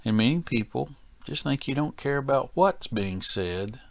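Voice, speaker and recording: A man teaching as a safety training instructor in a plain-spoken, deliberate, folksy manner. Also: medium pitch, animated, creaky, dry recording. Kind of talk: instructional presentation to workers.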